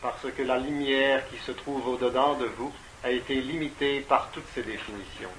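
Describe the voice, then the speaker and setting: A man's voice: mid-range voice, fairly even intonation, moderate pace, a bit bright, a little hiss or hum, low-quality recording. A man translating a spiritual talk into French, hesitant and often stopping on fillers. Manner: hesitant, frequent fillers, repeats words. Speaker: interpreter. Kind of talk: consecutive interpretation into French.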